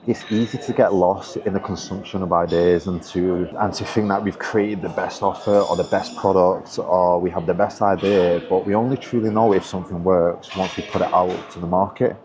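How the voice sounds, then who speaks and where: Male coach, low register, earnest motivational vlog delivery with restarts, crowd noise behind. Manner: earnest, encouraging, with frequent restarts. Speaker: online business coach. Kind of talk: casual motivational talk to camera.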